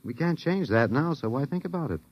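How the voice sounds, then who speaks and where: An actor playing a bookish captive anthropologist, dry, flippant and resigned, in 1950s radio drama style. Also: male voice, fairly high pitch, lively intonation, brisk. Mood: resigned, mild. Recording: dull, band-limited 1950s radio recording.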